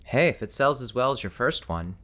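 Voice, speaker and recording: A man's voice: mid-range voice, animated, brisk, sharp tone. A man playing an easygoing, wry young husband who teaches, in casual audiobook dialogue.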